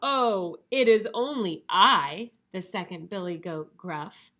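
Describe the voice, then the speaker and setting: Middle billy goat, voiced by a woman: storybook animal, bright, forceful, earnestly pleading. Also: mid-range voice, expressive, slow pace.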